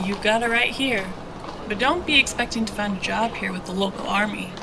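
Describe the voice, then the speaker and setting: A woman's voice: medium pitch, a little fast, background noise, lightly acted. A woman playing a brash, sly smuggler and streetwise guide in an audio drama, talking in slangy, taunting, irreverent lines. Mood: defiant.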